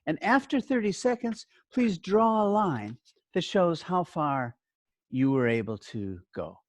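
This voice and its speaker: A teacher leads a lesson with simple, step-by-step directions. The pitch moves expressively up and down while the delivery stays slow and deliberate. The sound is dry, with little room in it. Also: lively intonation, dry recording. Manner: clear simple explanations with short instructions and check questions. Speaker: teacher training English language teachers.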